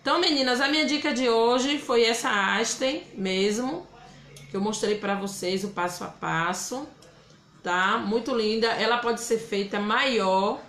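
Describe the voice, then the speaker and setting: A woman's voice: a little high, a little slow, a bit bright. A woman from Bahia with a Bahian Brazilian accent, hosting a casual live craft demonstration and chatting with viewers by name. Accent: Bahian Brazilian Portuguese. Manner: chatty, greets viewers by name and reads out their comments. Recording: roomy echo.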